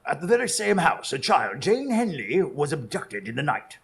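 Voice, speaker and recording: A man's voice: fairly high pitch, sing-song, normal speed, vocal fry, breathy edge, full character voice. A man playing an earnest, easily indignant loyal companion who also narrates a radio drama in formal storytelling style. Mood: grave. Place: small theatre stage, recorded live in front of an audience.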